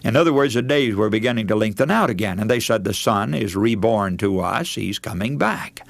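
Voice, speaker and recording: A man's voice: lowish voice, expressive, brisk, gravelly, dry recording. A man preaching a scripted radio sermon, emphatic and declamatory, addressing his listeners directly. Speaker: radio preacher, broadcast host.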